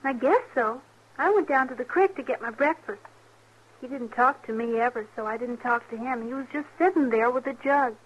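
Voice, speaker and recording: A woman's voice: high-pitched, a little fast, husky. A woman playing an inquisitive, plain-spoken little frontier girl in an old-time radio western, with a persistent, childlike manner. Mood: matter-of-fact. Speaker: radio drama actor. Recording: muffled old radio recording.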